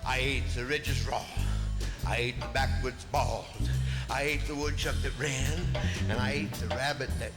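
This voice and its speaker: A man voicing a huge, menacing bear bully, boasting slowly and deliberately in a theatrical storytelling style. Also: steady tone, lightly acted. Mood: mock-polite menace. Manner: slow, deliberate, booming boasts. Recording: long hall reverb, loud music behind.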